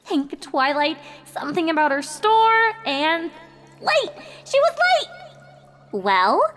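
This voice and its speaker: A woman's voice: sits high, sing-song, slow pace, a bit bright, voice pushed up, exaggerated voice. A woman voicing a bookish, slightly distracted young female pony from a cartoon, hesitant and plain-spoken. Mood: flustered. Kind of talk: cartoon fan-fiction character reading.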